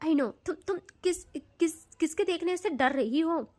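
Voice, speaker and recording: A woman's voice: very high-pitched, lively intonation, unhurried, a little mellow. A woman doing an intense, possessive young woman. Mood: coaxing. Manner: intense, pleading, stammering.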